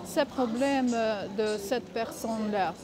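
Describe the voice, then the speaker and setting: A woman speaking French that is not her native language, giving casual advice in a vlog, halting often to search for words. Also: a little high, a crowd in the background. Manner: halting, many filler pauses, searching for words. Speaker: vlogger.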